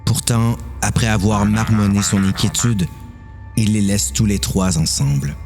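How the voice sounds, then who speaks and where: A man's voice: lowish voice, a little slow, a little mellow, faint music behind. A man reading a dramatic story as narrator.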